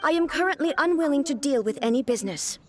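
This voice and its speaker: A woman's voice: sits high, expressive, fast talker, a crowd in the background. A woman voicing an emotionless, obedient puppet ruler in a video game, speaking in a terse, solemn, formal manner. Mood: cold, detached.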